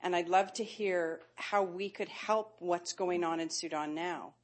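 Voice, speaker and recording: A woman's voice: fairly low pitch, a little slow. A woman in the audience at a panel event, asking a long, winding question in a friendly way over a handheld microphone.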